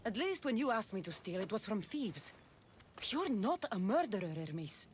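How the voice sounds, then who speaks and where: A woman's voice: medium pitch, very animated, normal speed, slight background noise, lightly acted. An actress playing a tough, skeptical female mercenary in a video game cutscene, speaking in a blunt, dry, self-assured way. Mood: dry, resolute. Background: music.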